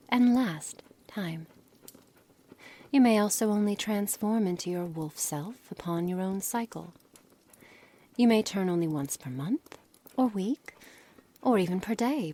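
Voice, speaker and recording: A woman playing a coolly menacing, scholarly witch in an ASMR roleplay, speaking softly in formal, measured words with breathy, hushed passages. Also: fairly low pitch. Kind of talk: ASMR roleplay dramatic monologue.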